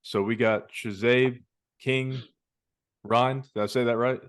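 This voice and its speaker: A man's voice: medium pitch, steady tone, takes it slowly, clear, resonant tone, no echo. A man hosting a fight podcast, asking casual, friendly questions and calling his guest "man". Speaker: podcast host. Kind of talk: casual interview.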